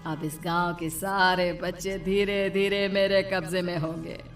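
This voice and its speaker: A woman voicing a vengeful female witch in a cartoon, gloating and cackling with slow, drawn-out threats. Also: on the low side, flat delivery, distant mic, faint music behind, close to an everyday voice. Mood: menacing gloat. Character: vengeful female witch stealing village children. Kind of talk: cartoon voice acting.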